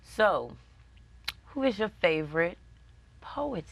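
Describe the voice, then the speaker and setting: A woman's voice: on the low side, very animated, slow pace. A woman hosting a talk show, interviewing a guest and encouraging her with frequent affirmations. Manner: encouraging, affirms often with right and mm-hmm.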